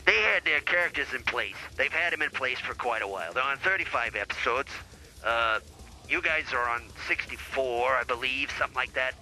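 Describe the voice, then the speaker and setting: A man's voice: mid-range voice, animated, brisk, sharp tone, slight background noise, low-quality recording. A man playing a brash, boozy, foul-mouthed divorce lawyer in an improvised comedy podcast sketch, pompous and blustering. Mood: impatient.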